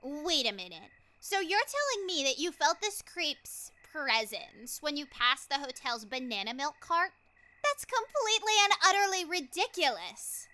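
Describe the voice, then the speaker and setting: A woman's voice: high-pitched, sing-song, a little slow, sharp tone, heavily acted. A woman playing a skeptical, snippy teenage girl in an audio drama. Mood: incredulous. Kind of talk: fan audio drama acting.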